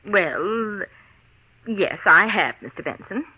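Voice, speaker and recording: Obedient office secretary, very animated intonation, hesitant, polite, 1940s radio drama, muffled vintage sound. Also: female voice, mid-range voice, takes it slowly, slightly rough. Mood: tentative, polite. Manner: polite, tentative, eager to please. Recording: muffled, scratchy-voiced vintage radio recording.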